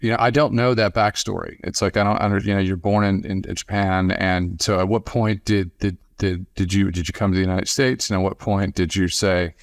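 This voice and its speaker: Guy hosting a sports podcast, low voice, keeps restarting his words, really dry close sound. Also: fairly even intonation, gravelly, no room sound. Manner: halting, repeats words and restarts often. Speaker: podcast host, interviewer. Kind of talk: casual sports podcast interview.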